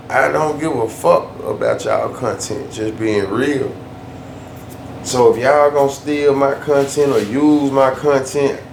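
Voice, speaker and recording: Male vlogger, gravelly voice, loose slangy rant, echoey room with faint hum. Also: medium pitch. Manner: loose, slangy, repeats himself for emphasis. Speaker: YouTube storyteller. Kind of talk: casual ranting vlog.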